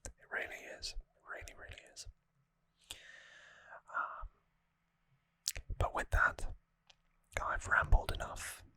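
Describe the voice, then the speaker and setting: An ASMR host speaking in a breathy, unvoiced whisper, gentle and earnest, slightly rambling to viewers, close on the microphone. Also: slow, long pauses. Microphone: close microphone in a dry space.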